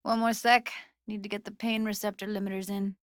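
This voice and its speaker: A woman's voice: medium pitch, flat delivery, brisk, dry recording, studio quality, lightly acted. A woman playing a guarded, streetwise young technician in a video game, speaking in a casual, slangy, blunt way. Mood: tense, irritated. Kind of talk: naturalistic video game voice acting.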